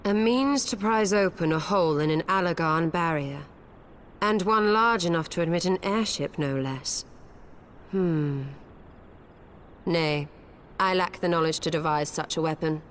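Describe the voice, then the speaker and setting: An actress playing a gracious, scholarly female mage in a fantasy video game, speaking in formal, measured, archaic phrases. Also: lowish voice, slight background noise, natural voice. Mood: composed, thoughtful.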